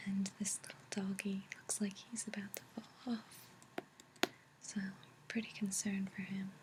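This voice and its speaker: A woman speaking softly in a breathy near-whisper, gently and unhurriedly, as an ASMR host reading and chatting. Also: medium pitch, noisy background. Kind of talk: soft-spoken ASMR reading and chat. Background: page turning, tapping, clicks.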